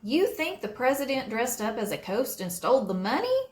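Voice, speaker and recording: Woman reading as a brisk, skeptical storybook policeman. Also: on the high side, big pitch swings, echoey room. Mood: dismissive.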